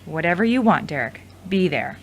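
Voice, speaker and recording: A woman's voice: fairly low pitch, animated, normal speed, husky. A woman playing a frank, supportive ex-girlfriend in a conversational audio drama. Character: frank, teasing, supportive ex-girlfriend and studio manager.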